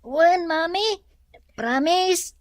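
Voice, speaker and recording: A woman's voice: extremely high pitch, animated, very slow, no room sound, clean studio sound, full character voice. A woman with an Ilocano accent playing a pleading young schoolgirl in a radio drama.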